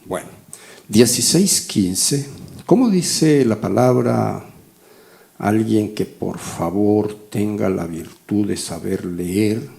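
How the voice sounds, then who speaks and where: A man's voice: on the low side, takes it slowly, gravelly, warm tone. A man in his sixties preaching a conversational, teaching sermon, often checking in with short rhetorical questions. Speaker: pastor teaching a Bible class.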